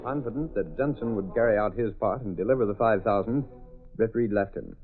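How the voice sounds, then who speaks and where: A man playing the dramatic narrator of an old radio serial, with measured announcing. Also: on the low side, phone-quality sound, over music, lightly acted. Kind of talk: scripted 1930s-40s radio narration. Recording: thin old radio recording.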